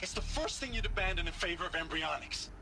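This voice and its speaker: A man's voice: high voice, expressive, fast talker, noisy background. An actor playing an agitated, sarcastic, incredulous male scientist in a video game cutscene, speaking emphatically. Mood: agitated, insistent.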